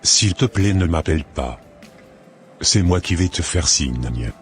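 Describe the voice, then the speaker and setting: Man acting very deep-voiced secret lover. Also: expressive, slow, soft background music. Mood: secretive. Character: smooth secretive male lover of a married woman.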